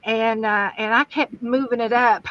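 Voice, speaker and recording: A woman's voice: on the high side, steady tone, unhurried, dry recording. A woman with a West Virginian accent, telling rambling stories in a casual livestream chat from her home craft room. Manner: chatty, rambling storyteller. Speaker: guest crafter and streamer. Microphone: livestream camera setup. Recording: dull, dry sound.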